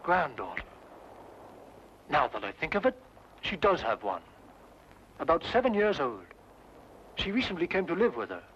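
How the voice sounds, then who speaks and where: An actor playing a fussy, flustered, indignant English gentleman in an old-time radio drama, with a British accent and a prim, sputtering delivery. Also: a little high, very animated, moderate pace, long pauses. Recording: dull, noisy old radio recording.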